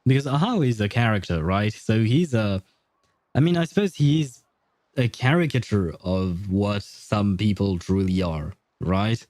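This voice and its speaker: Mellow-voiced young male game streamer. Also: fairly low pitch, animated, measured pace, no echo. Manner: chatty, laughing, swearing. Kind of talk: casual gameplay commentary.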